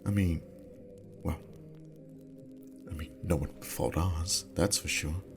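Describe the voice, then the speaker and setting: Man playing a very deep-voiced, affectionate boyfriend. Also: creaky, exaggerated voice. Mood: teasing, relaxed. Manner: soft, intimate, unhurried, teasing. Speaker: ASMR roleplay voice actor. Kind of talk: intimate ASMR boyfriend roleplay. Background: music.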